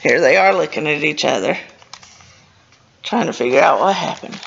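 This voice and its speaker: Woman, very creaky bright voice, expressive storytime read-aloud, casual asides, slightly dull recording. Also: sits low, normal speed, slight background noise. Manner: expressive, stumbling over words and commenting as she goes. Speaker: librarian reading a storybook to children.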